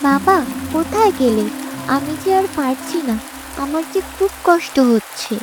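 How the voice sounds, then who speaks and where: Woman acting high-voiced, plaintive sick girl. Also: animated, moderate pace, music in the background. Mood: suffering, pleading. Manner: weak, plaintive. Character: sick village girl, weak and suffering daughter. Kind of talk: melodramatic cartoon voice acting.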